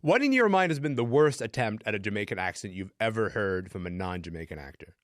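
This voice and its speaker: A man's voice: on the low side, expressive, fast, dry recording, studio quality. A man hosting a conversational panel discussion, speaking emphatically and repeating phrases as he goes. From Sudanese descent. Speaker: host.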